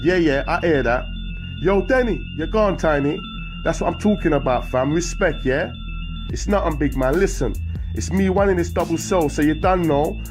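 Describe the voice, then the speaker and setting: A man's voice: low voice, expressive, brisk, music in the background. A man voicing a cocky, streetwise young male prisoner with London street slang. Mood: shouting. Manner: laid-back, cocky.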